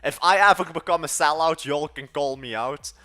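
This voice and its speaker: A man's voice: fairly high pitch, moderate pace, sharp tone. An eighteen-year-old man chatting casually on a live stream, rambling and trailing off mid-thought. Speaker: livestreaming coder.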